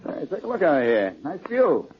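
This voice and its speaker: An actor playing a wisecracking, self-assured amateur detective with a dry bantering manner, in 1940s radio drama style. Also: male voice, fairly high pitch, huge pitch swings, moderate pace. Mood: winded. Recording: muffled, noisy old radio recording.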